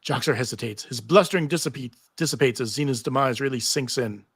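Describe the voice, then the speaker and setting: A man's voice: medium pitch, quick pace, husky, dry recording, studio quality. A man narrating stage directions in a live table read of a TV script.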